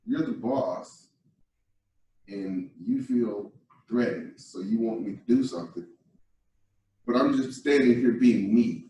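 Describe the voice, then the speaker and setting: A man's voice: medium pitch, slow, pauses often, mellow. A man taking part in a workshop discussion, speaking expressively from his own experience. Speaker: workshop participant. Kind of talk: group discussion. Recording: echoey room from far off.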